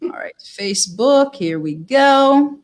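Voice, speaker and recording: A woman hosting a casual live-stream interview, upbeat and affirming with quick asides. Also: high voice, expressive. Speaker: online talk show host.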